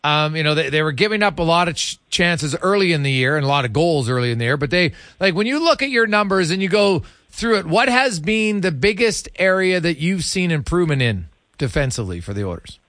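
A man hosting a sports interview, talking conversationally in halting, stat-heavy runs. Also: fairly high pitch, lively intonation, fairly bright tone, no echo, clean studio sound. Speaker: sports radio host.

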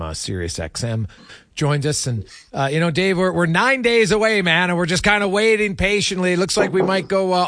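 A man's voice: on the high side, fairly bright tone, dry recording, clean studio sound. A man hosting a sports radio show, chatting casually about hockey and putting meandering questions to his guest.

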